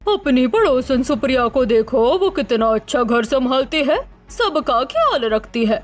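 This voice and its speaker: A man voicing a sharp-tongued middle-aged mother-in-law in a cartoon story, scolding her daughter-in-law. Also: very high-pitched, big pitch swings, a little slow, faint music behind, pitched above the performer's own voice, exaggerated voice. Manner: scolding, comparing.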